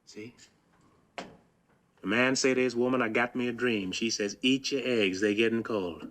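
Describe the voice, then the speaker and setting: Actor playing a frustrated, restless husband. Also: male voice, mid-range voice, steady tone, unhurried, fairly bright tone, a little hiss or hum, close to an everyday voice. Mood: bitter, pleading. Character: frustrated, restless thirty-five-year-old working-class husband and dreamer. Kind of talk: dramatic acting.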